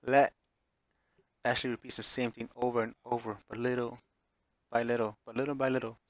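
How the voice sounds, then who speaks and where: A man's voice: mid-range voice, level tone, quick pace, no echo. Man narrating a visual novel, dry sound. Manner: stumbles over words and re-reads phrases. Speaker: streamer reading a visual novel aloud. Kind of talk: dramatic read-aloud narration.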